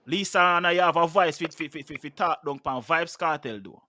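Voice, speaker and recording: A man in his thirties with a Jamaican accent speaks with a bright, forceful voice into a close studio mic. The sound is dry, and he talks with passion, often repeating words as he pushes his point as host. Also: a little high, normal speed, dry recording. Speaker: talk show host. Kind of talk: heated casual discussion. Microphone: studio mic with headphones.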